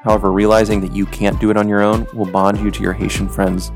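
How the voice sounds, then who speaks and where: Man, podcast host; earnest, measured storyteller with a low voice over soft music. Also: level tone. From United States. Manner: earnest, reflective, measured delivery. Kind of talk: scripted storytelling narration.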